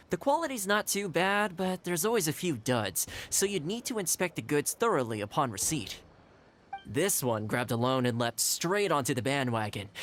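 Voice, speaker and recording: An actor playing a courteous, shrewd young male trade adviser in a video game, speaking in a polite, articulate, measured way. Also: a little high, a bit bright, slight background noise. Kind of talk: scripted game character dialogue. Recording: music behind the voice.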